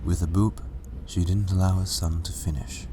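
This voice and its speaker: A man's voice: low voice, level tone, moderate pace, background noise. A man narrating a story as a scripted reading. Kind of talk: scripted fan fiction narration.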